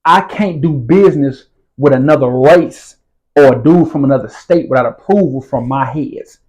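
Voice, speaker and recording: A man's voice: medium pitch, normal speed, a little mellow, muffled recording. A man, telling his story as an interview guest in casual street slang and often asking whether the listener follows. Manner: streetwise slang, repeats "you feel what I'm saying".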